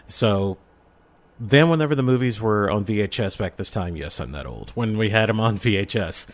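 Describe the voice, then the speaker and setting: A man's voice: fairly low pitch, expressive, normal speed. A middle-aged man chatting casually as a podcast guest and telling personal stories with enthusiasm. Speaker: podcast guest, audio drama creator. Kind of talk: casual chat, personal storytelling.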